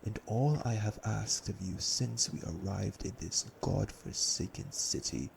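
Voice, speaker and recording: Man acting low, gravelly, commanding vampire elder. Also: unhurried, noisy background. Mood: stern, angry. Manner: formal, stern, imperious. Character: cold, commanding elder male vampire brother, menacing. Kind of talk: audio roleplay drama acting.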